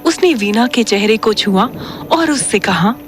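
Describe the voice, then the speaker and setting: A woman's voice: on the high side, animated, a little slow, soft background music. A woman narrating a horror audio story in a dramatic, scripted style.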